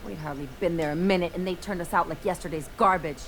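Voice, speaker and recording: An actress playing a brash, bitter young adventurer in a video game, with a sardonic, theatrical way of telling stories. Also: female voice, fairly low pitch, fast talker, slight background noise, lightly acted. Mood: wry, dramatic. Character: brash young adventurer woman, bitter, dramatic, estranged from parents.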